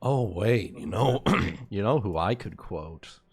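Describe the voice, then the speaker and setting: A man's voice: lowish voice, expressive, takes it slowly, darkish tone, husky. A man in casual gamer chat on a livestream, jokey and prone to dramatic quoting. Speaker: host of a tabletop game livestream. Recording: music behind the voice. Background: background music.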